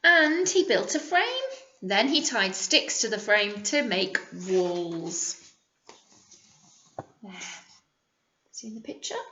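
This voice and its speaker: A British woman, a primary school teacher, reading a picture book aloud to young children and pausing to explain and ask simple questions. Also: mid-range voice, very animated, sharp tone, reverberant, muffled recording, slight background noise.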